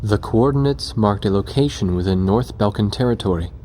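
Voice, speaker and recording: A man acting as a reflective narrator, recounting a war in a measured, scripted way for a game cutscene. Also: fairly low pitch, lightly acted. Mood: sober, reflective. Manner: measured, sober storytelling. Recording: loud music under the voice.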